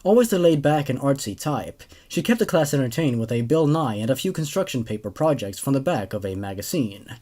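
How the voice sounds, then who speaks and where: A man in his twenties hosting and narrating horror stories in an expressive, animated way, recorded indoors at a desk microphone. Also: medium pitch, brisk, hardly any pauses. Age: 20s. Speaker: host of a horror story reading show. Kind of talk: scripted horror narration with casual commentary. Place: small room at home, indoors. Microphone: large condenser desk microphone.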